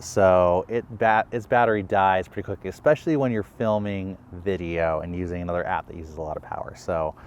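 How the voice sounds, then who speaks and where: A man with a fairly low voice gives a casual vlog update. He thinks out loud, hesitating and restarting as he goes, with faint traffic ambience behind him. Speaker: video creator vlogging a filming challenge. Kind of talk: casual vlog talk to camera.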